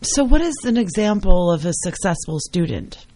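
A woman's voice: medium pitch, lively intonation, normal speed, dark tone. A woman hosting a radio talk show, asking questions with eager exclamations. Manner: enthusiastic, exclamatory. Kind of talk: casual radio interview.

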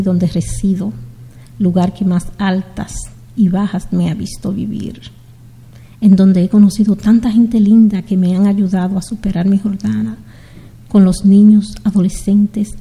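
A middle-aged woman from the Dominican Republic hosting a community radio show, speaking in an unhurried, heartfelt way as she greets her listeners. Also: mid-range voice, dark tone, slightly rough, in a large hall. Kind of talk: slow, warm community radio sign-off.

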